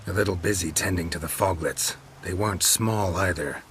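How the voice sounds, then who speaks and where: A man voicing a weary, gruff monster hunter in a video game, with a gravelly voice and a terse, deadpan manner. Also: low-pitched, moderate pace, slight background noise. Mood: dry, weary. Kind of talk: video game dialogue acting.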